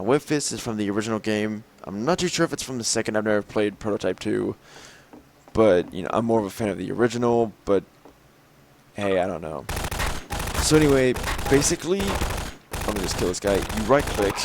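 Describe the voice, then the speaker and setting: Young male gameplay narrator, lowish and a little raspy, casual and rambling, clear game gunfire under him. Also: slight background noise. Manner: rambling, frequent fillers and throat clearing. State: slightly raspy from lack of sleep. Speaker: gameplay video host. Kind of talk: mod showcase commentary. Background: game gunfire and clicks.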